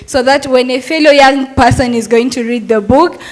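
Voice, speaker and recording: A woman's voice: high voice, normal speed. A young adult woman, a Montessori teacher, giving an earnest, persuasive talk at a summit and asking rhetorical questions.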